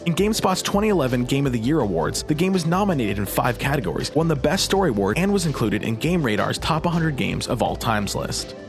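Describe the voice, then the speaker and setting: A man reading scripted review narration as a video essay narrator. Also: mid-range voice.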